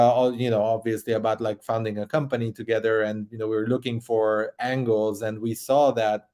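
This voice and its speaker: A man's voice: mid-range voice, a little mellow. Chatty Hungarian-accented man on a video call. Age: middle-aged. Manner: chatty, frequent restarts and fillers. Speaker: startup co-founder guest. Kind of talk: casual interview talk. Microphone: video call webcam.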